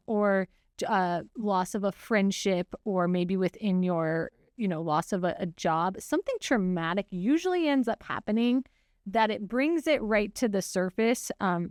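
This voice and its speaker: An earnest, reflective woman in her forties co-hosts a podcast, speaking at an everyday middle pitch. She laughs easily while she talks. The sound is close and dry, with no room around her. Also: expressive, normal speed, clear, resonant tone, dry recording. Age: 40s. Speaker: podcast co-host. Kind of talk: casual conversation.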